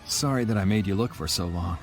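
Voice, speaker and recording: A man's voice: low voice, fast, soft background music. An actor playing a cool, wry young swordsman in a video game.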